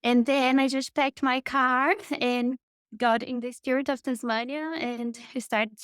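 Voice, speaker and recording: A woman's voice: high-pitched, little pitch movement, measured pace, fairly bright tone, clear, resonant tone, no echo, studio quality. A woman in her thirties from Brazil with a Brazilian Portuguese accent, a guest telling her story in an interview with enthusiasm and frequent restarts. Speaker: guest, marketing consultant.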